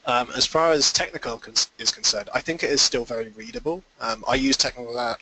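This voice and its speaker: Bright-voiced man explaining on a call. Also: mid-range voice, brisk, low-quality recording. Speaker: investor guest. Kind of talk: conference call interview answers.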